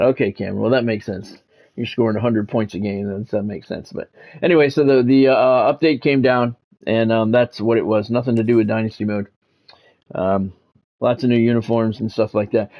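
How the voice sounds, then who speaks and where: A man's voice: a little high, darkish tone, lo-fi sound. A middle-aged man streaming a sports video game, talking casually and commentating in a blunt, sardonic way. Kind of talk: casual livestream chat with sports play-by-play commentary.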